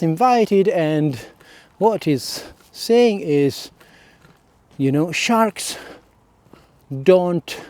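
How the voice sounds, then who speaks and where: Italian-accented man, solo vlog, hesitant with fillers, slow unhurried delivery, warm mellow tone. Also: a little high, no room sound. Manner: hesitant, many fillers and "okay?" checks. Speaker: vlogger.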